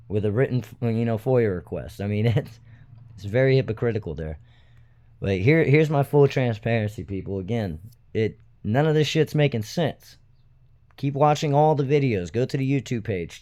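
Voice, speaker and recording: A man's voice: on the low side, mellow. A man giving unscripted commentary to his viewers in a blunt, emphatic, indignant and profane way. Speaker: video host, self-styled citizen watchdog.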